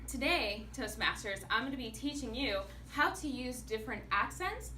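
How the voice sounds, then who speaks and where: A woman delivers an animated prepared speech. Her voice is bright, forceful and fairly high. It sounds like it was caught from across an echoey meeting room, with faint noise underneath. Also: normal speed, far from the mic. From United States. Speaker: public speaker, actor and singer.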